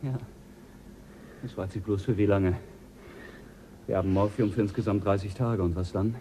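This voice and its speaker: An actor playing a level-headed ship's doctor who leads the crew and gives brisk orders in a radio play. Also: male voice, fairly low pitch, fairly even intonation, warm tone, slight background noise, lightly acted, low-quality recording.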